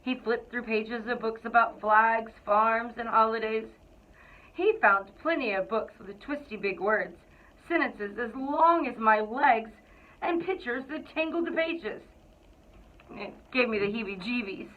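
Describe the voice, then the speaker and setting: A woman reading a children's picture book aloud to school students in an expressive, deliberate storytelling way. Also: a little high. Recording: thin, phone-like narrow-band sound.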